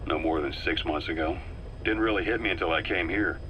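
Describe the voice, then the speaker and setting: An actor playing a serious, analytical military intelligence man in a video game, grave and deliberate. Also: deep voice, bright, forceful tone, a little hiss or hum, low-quality recording. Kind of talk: video game briefing dialogue.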